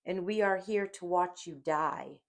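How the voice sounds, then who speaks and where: A woman's voice: on the low side, unhurried. A woman voicing Sin as a proud, taunting male villain in a dramatic audiobook reading. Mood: menacing triumph. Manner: declamatory, taunting.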